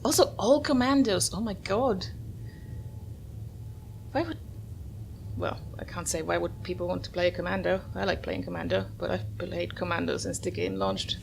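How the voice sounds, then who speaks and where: A woman's voice: fairly low pitch, big pitch swings, normal speed, vocal fry, pauses often, a little hiss or hum. A woman hosting a gameplay video, giving casual commentary as she plays. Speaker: gamer, host of the video series. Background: soft game music.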